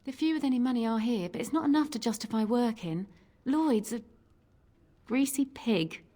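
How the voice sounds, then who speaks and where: An actress playing a jaded, wry tavern barmaid in a fantasy video game. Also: female voice, a little high, normal speed, dark tone, natural voice. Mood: bitter, weary.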